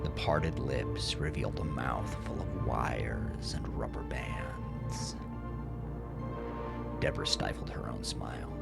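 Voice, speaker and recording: Male narrator for horror audio drama: low, unhurried, dramatic, music underneath. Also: vocal fry.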